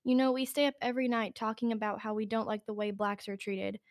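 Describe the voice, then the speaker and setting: A woman's voice: fairly high pitch, steady tone, quick pace, dry recording, clean studio sound, close to an everyday voice. A woman playing an earnest, determined teenage college boy in an amateur radio drama. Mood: fed up.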